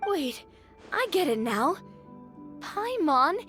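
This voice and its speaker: A woman voicing a bright, curious young adventurer girl in a video game, eager and deliberate in manner. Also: high-pitched, sing-song, faint music behind, full character voice. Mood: thoughtful.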